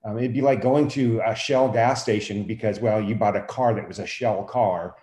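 A man's voice: lowish voice, fairly even intonation, normal speed, dark tone. A man giving his opinions in a casual discussion, conversational and emphatic. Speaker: podcast host, teacher. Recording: echoey room.

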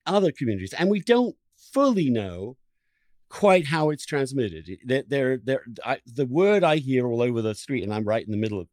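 A man's voice: mid-range voice, big pitch swings, normal speed, a little mellow, no echo. A man hosting an interview in an impassioned conversational style, stressing his points emphatically with repeated words and piled-up phrases. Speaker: podcast host interviewing a guest.

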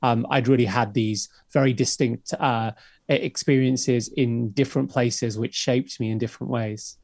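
A man of around fifty answers interview questions in a measured, reflective way, often pausing with "uh." His voice sits at a middle pitch, and the recording is dry, with no room echo. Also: fairly even intonation, darkish tone. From England. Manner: measured and reflective, many uhs. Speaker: podcast guest.

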